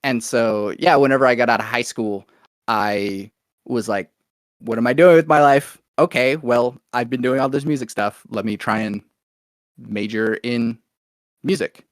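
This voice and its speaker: A man with an American accent telling his own story in a casual, rambling interview style, as if thinking out loud. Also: medium pitch, measured pace, no echo, clean studio sound. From Oklahoma, United States, born in Venezuela. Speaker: podcast guest, musician and producer.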